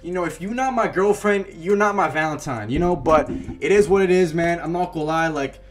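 A man's voice: a little high, a little fast. A young man in his late teens rambling casually over a pack-opening reaction. He uses lots of slang and fillers, and his voice is wearing thin. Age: young adult. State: losing his voice. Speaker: YouTube gaming creator.

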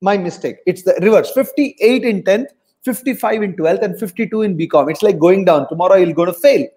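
A man's voice: sits high, fairly quick, a little mellow. A middle-aged man with a South Indian accent, coaching students in a motivational webinar, repeating his points for emphasis. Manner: emphatic, repeats lines for effect, mixes in Hindi. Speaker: test prep coach. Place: home room on a video call.

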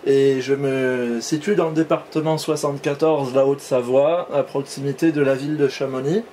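Mellow man explaining, somewhat haltingly. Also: mid-range voice, a little hiss or hum. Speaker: amateur radio operator and demonstrator. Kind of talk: casual explanatory demonstration.

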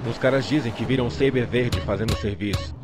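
Male actor as Brazilian street thug, grumbling and foul-mouthed, medium pitch, video game dub over music. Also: brisk, lightly acted. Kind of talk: game fan dub acting.

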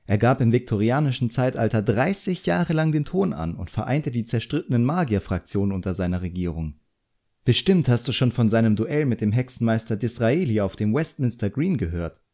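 A man voicing a composed, quietly sharp female drawing teacher in an audiobook, instructive and measured. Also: mid-range voice, animated.

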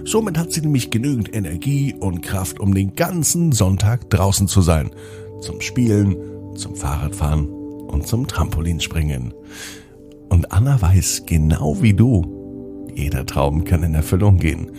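A man telling a scripted bedtime story to children as podcast host, in an expressive storytelling manner. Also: low voice, unhurried. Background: music.

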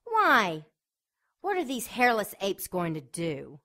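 A woman's voice: mid-range voice, huge pitch swings, slow pace, sharp tone, heavily acted. A woman playing a seductive, predatory winged succubus in an audio drama, with a sultry, taunting manner. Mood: defiant, scornful.

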